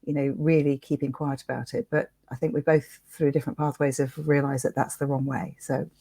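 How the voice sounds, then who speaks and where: A woman's voice: a bass voice, level tone, a little fast, creaky, mellow, no room sound. A British woman talking in a conversational interview, earnest and informal in her manner. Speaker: interviewer and suicide prevention campaigner.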